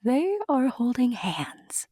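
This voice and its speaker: A woman playing an intimate storyteller who confides in the listener in a breathy whisper, narrating an audio drama. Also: on the high side, sing-song, slow pace, mellow, dry recording, clean studio sound, exaggerated voice. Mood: hushed, confiding.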